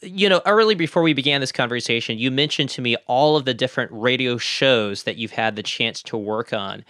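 Bright-voiced male interviewer, dry sound. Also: mid-range voice, a little fast, dry recording. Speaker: interviewer, host. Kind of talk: conversational interview.

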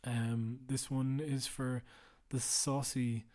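A tired man hosting a podcast late at night, speaking low and quiet in a rambling, self-deprecating way. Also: level tone, slow pace. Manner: rambling, self-deprecating, drops to a mutter at times. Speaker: podcast host. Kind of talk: casual solo housekeeping chat.

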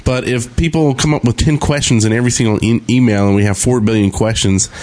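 A man's voice: medium pitch, steady tone, fast talker, darkish tone. A man co-hosting a gaming podcast in casual, bantering chat. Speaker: podcast co-host.

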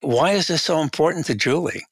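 A man's voice: a little high, a little fast, husky, no room sound, clean studio sound. A man in his eighties, speaking as a guest in an interview and telling a story conversationally. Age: 80s. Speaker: guest, researcher and author.

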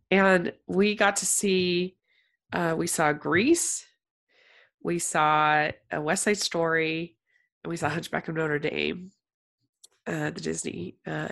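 A woman's voice: sits low, flat delivery, slow, creaky, a bit bright. A woman with a congested, sick-sounding voice, hosting a casual podcast as a film critic, chatty with lots of fillers. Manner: chatty, many fillers and restarts.

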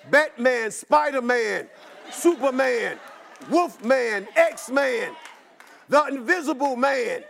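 A man preaching a sermon in a rhythmic chant, running through long repeated lists and rising into shouts. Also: extremely high pitch, vocal fry, slight background noise.

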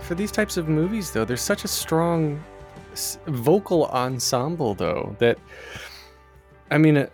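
A man's voice: fairly high pitch, expressive, unhurried, a little mellow, soft background music. A man hosting an interview podcast, asking questions in an enthusiastic, conversational way. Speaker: podcast host. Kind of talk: casual interview.

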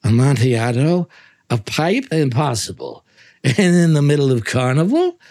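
A man's voice: medium pitch, very animated, normal speed, a little mellow, pitched above the performer's own voice, natural voice. A man voicing a proud, scornful, drunken Italian wine connoisseur in a dramatic reading, blurting short exclamations and questions. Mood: incredulous.

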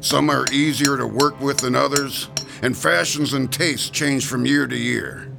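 A man's voice: mid-range voice, fairly even intonation, unhurried, creaky, music in the background. An actor playing a jovial, heavyset male gravestone carver in a radio drama, folksy and darkly joking. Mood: jovial, teasing.

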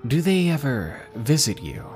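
A man voicing a solemn, quiet-spoken Japanese man in a narrated ghost story. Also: mid-range voice, very animated, measured pace, faint music behind, voice pushed up.

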